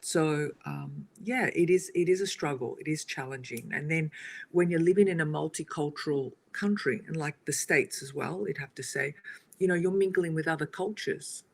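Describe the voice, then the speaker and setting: A woman, a guest on a casual talk show, telling stories in an animated way with frequent laughter. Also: deep voice, normal speed, gravelly. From Australia. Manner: animated storytelling, laughs often. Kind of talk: casual conversation on a video podcast.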